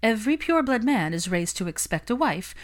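Casting: female narrator as young society gossip, smug and affected, raised lively voice, audiobook character. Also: on the high side, a little fast, voice pushed up. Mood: smug, preening. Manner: affected, bright, confiding. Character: young society gossip, gushing, smug, husband-hunting witch.

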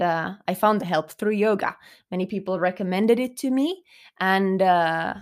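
A young woman co-hosting a podcast, telling her story in a thoughtful, unhurried way with many fillers and restarts. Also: mid-range voice. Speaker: podcast co-host. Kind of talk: reflective personal storytelling.

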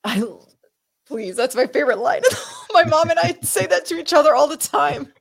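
A woman's voice: high-pitched, lively intonation, normal speed, vocal fry. A woman co-hosting a casual watch-party podcast, talking emphatically with exasperated rhetorical questions. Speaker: podcast co-host.

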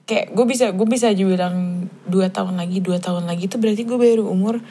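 A woman's voice: mid-range voice, fast, warm tone, breathy edge. A young woman in a casual podcast chat, thinking aloud and hedging as she answers. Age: young adult.

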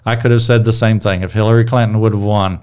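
A man's voice: lowish voice, fairly even intonation, quick pace, darkish tone, smooth. A middle-aged man with a strong voice leading a casual Bible discussion, rambling and restarting his sentences. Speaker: Bible study leader.

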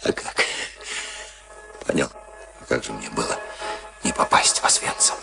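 An actor playing a cynical, streetwise prison camp convict in a Soviet radio play, commanding and sardonic in coarse street talk. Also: male voice, extremely low pitch, steady tone, normal speed, creaky, over music, full character voice. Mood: boastful, hard. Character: cynical, streetwise camp convict Tim, bullying boxing-match organiser.

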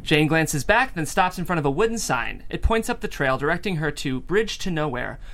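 A man's voice: on the high side, expressive, a little fast, fairly bright tone. A man from the American Midwest hosting a table read and narrating the stage directions. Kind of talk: animated script narration.

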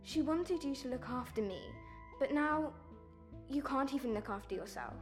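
A woman's voice: high-pitched, expressive, normal speed, faint music behind. A woman playing a young sister in an audio drama, hurt and earnest, pleading with her wayward brother. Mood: hurt, reproachful.

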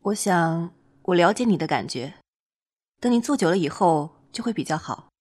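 A woman's voice: lowish voice, expressive, normal speed, darkish tone. A woman playing a detached, pragmatic senior woman doctor who gives matter-of-fact advice in an amateur radio drama. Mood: brisk, pragmatic.

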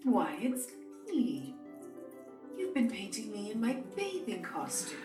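A woman playing a spirited, proper young mother who is quick to scold, in an audio drama. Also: medium pitch, wildly swooping pitch, dark tone, faint music behind. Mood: coy, flustered. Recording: echoey room, distant from mic.

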